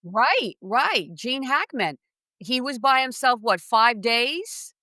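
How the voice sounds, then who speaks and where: Lady podcast interviewer, bright crisp-edged voice, very dry sound, conversational, keeps affirming the guest. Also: fairly high pitch, expressive, slow pace, no echo.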